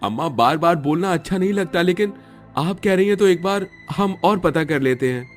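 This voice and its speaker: Man voicing a higher-pitched frustrated villager. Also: animated, normal speed, soft background music. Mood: reluctant. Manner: earnest, complaining.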